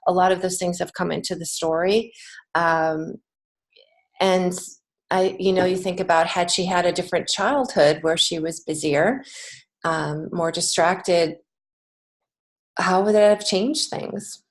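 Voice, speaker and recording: An American woman talking casually as an interview guest, earnest and reflective, often halting and restarting her thoughts. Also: fairly low pitch, fairly even intonation. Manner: earnest, reflective, halting with many false starts. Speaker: podcast guest, children's author and creative writing teacher.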